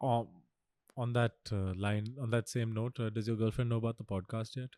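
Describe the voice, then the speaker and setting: A man's voice: on the low side, steady tone, brisk, mellow, no room sound. A man, a music teacher co-hosting a podcast, making dry, laid-back remarks. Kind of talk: casual chat among friends.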